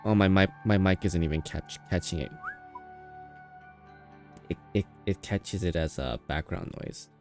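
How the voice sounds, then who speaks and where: Very deep-voiced, mellow male game streamer. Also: a little slow, pauses often, soft background music. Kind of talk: casual live commentary.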